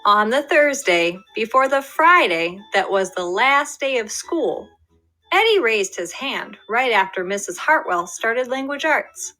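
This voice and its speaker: A woman's voice: a little high, animated, a little slow, pushed and bright, soft background music. A young adult woman, a first grade teacher, reading a picture book aloud to her class and talking to her students.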